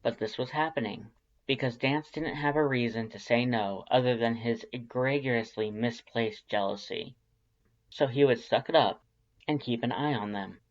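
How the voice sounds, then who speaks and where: A narrator reading scripted fiction in a low-key, measured manner. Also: muffled recording.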